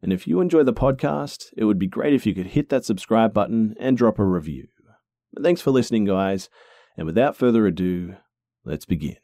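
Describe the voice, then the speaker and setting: Mellow Australian man hosting. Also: on the low side, lively intonation, brisk, no echo, studio quality. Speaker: podcast host. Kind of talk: casual show intro.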